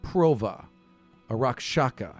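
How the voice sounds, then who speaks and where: A man voicing a solemn archon who instructs students in a tabletop fantasy game, speaking formally and deliberately. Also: medium pitch, animated, soft background music. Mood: grave, measured. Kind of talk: tabletop roleplay acting.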